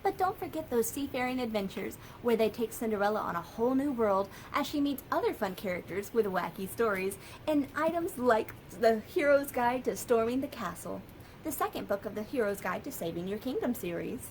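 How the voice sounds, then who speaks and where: American woman, children's librarian, high bouncy storytelling voice, faint room hum. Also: a little fast, poor audio. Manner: lively, sing-song storytelling.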